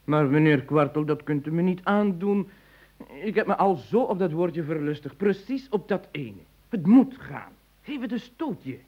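A man's voice: on the high side, lively intonation, a little slow, muffled recording. A man playing a pompous, long-winded and bossy industrialist in an absurdist comic radio play. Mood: excited. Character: eccentric, pompous male industrialist, fussy and bossy.

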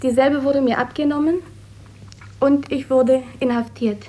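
A woman's voice: sits high, steady tone, unhurried, mellow. An East German woman giving an eyewitness account of her own ordeal in an interview. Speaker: refugee eyewitness. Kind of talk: recounting a personal ordeal in an interview.

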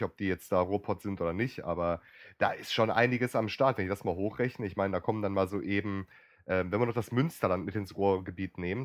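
Man from the ruhr area, slightly low voice, hoarse, rambling, clears throat often, casual podcast chat. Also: dry recording, clean studio sound. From Ruhr area, Germany. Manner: rambling, self-mocking, frequent throat clearing. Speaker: podcaster guest.

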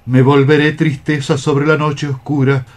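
A melancholy young cockroach in love, played by a man in radio theatre. The voice is fairly high, tender and lyrical, declaiming verse. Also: flat delivery, measured pace, a little mellow, close to an everyday voice. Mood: lovesick melancholy. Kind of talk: poetic radio theatre acting.

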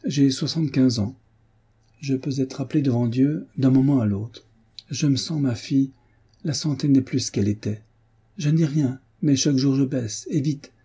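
An old peasant grandmother played by a male reader, mournful and stubborn in her piety. The voice is raised in pitch, dark and very husky, with a hesitant, plaintive delivery suited to a storybook. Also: normal speed. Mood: plaintive, resigned. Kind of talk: audiobook character voice.

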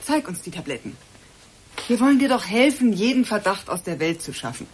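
Actress as coaxing woman, lively intonation. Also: medium pitch, a little fast, slight background noise, close to an everyday voice. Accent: standard German. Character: concerned, gently coaxing woman, conciliatory friend. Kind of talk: German radio drama acting.

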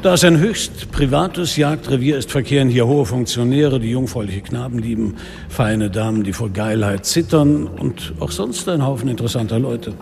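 Actor as gravelly sardonic police commissioner. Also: male voice, mid-range voice, moderate pace, a little mellow, a little hiss or hum, natural voice. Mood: wry. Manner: dry, literary, ironic. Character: sardonic, world-weary male police commissioner narrating his case. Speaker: narrator and lead actor. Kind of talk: radio drama narration and dialogue. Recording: studio radio drama, reverberant, music underneath.

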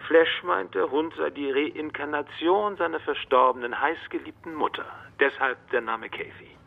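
A man playing a matter-of-fact ex-detective in a radio play, with a rough, husky voice. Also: fairly high pitch, normal speed, phone-quality sound. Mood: explaining, casual. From Netherlands. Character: male Dutch ex-detective reporting by phone, matter-of-fact.

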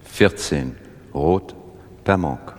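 A man's voice: takes it slowly, soft background music. A man playing a casino croupier, making formal, terse, formulaic table announcements in a radio drama. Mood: formal, detached. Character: male roulette croupier calling bets in a casino. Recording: clearly noisy, rumbling ambience.

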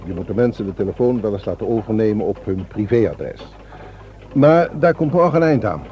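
An actor playing a polite, formal and principled helpline volunteer who guards secrets, in a radio drama. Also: male voice, on the low side, expressive, brisk, natural voice. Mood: explanatory. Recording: muffled, noisy old radio play recording.

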